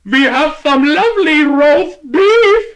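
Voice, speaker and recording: A man voicing a loud, old-fashioned male delicatessen owner in a storybook, speaking slowly and booming. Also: animated, full character voice. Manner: booming and slow.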